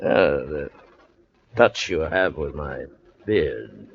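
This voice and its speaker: A man acting a boastful, menacing pirate captain with a gruff, swaggering manner in an old radio drama. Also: rumbling low, expressive, full character voice. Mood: gruff courting. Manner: gruff, swaggering, drawn-out speech. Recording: muffled, noisy vintage radio recording.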